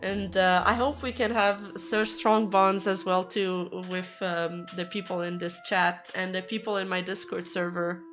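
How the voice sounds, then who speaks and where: Hoarse woman streamer, slow and chatty. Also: lowish voice, flat delivery, over music. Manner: chatty, rambling, easily laughing. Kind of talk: casual livestream chat with viewers.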